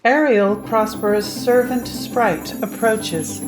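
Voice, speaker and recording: A woman narrating a scripted audio drama in a deliberate storytelling manner. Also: fairly high pitch, over loud music, poor audio. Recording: remote recording with faint noise.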